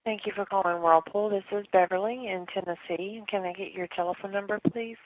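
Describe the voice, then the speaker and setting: Female call-centre agent, mid-pitched, courteous and formal, dry recording. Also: fairly even intonation, a little fast, dry recording, rough recording. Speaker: customer service call agent. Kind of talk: polite scripted customer service.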